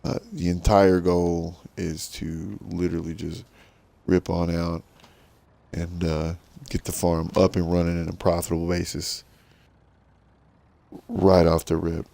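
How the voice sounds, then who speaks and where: Middle-aged man, very low voice, gravelly smoker's rasp, casual self-deprecating game commentary. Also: little pitch movement, measured pace, long pauses, dark tone, dry recording. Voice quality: rough smoker's voice. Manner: rambling, easygoing, self-deprecating. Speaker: streamer playing a farming game. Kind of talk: casual gameplay commentary to viewers.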